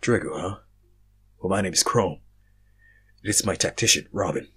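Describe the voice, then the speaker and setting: An easygoing, joking young lord of a fantasy tale, read by a man, with a lowish, husky voice. He sounds casual and lightly amused. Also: moderate pace, bright, forceful tone, full character voice. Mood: friendly. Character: friendly, easygoing, joking male fantasy royal.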